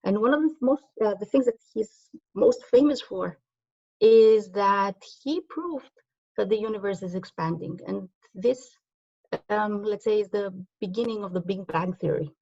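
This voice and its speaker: A woman's voice: mid-range voice, unhurried, vocal fry, dark tone, no echo, dull audio. A woman from Greece, a native Greek speaker, giving a conference talk in a conversational way, with frequent ums and self-corrections.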